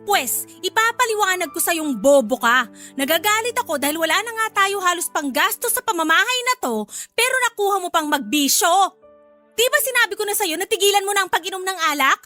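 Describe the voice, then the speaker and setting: A woman's voice: very high-pitched, animated, a little fast, sharp tone, faint music behind, exaggerated voice. An actress with a Filipino accent playing a fed-up wife and mother, scolding in exasperation in a radio drama. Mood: furious, sarcastic.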